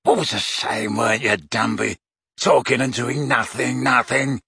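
A man's voice: mid-range voice, fairly even intonation, measured pace, gravelly, sharp tone, breathy edge, dry recording, clean studio sound, exaggerated voice. A man voicing a mocking, volatile male henchman with a taunting, sneering delivery.

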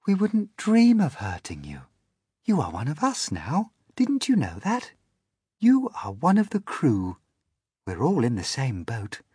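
A man voicing a kind, motherly female ladybird in a storybook, speaking softly and soothingly. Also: on the high side, huge pitch swings, slow pace, husky, no echo, clean studio sound, voice pushed up, heavily acted. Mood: kindly. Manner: soft and soothing.

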